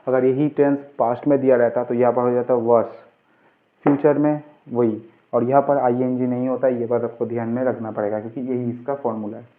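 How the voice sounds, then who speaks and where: A man's voice: mid-range voice, little pitch movement, fairly quick. A man teaching as an informal grammar tutor, instructive, asking questions and answering them himself. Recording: muffled, phone-like, narrow-band sound.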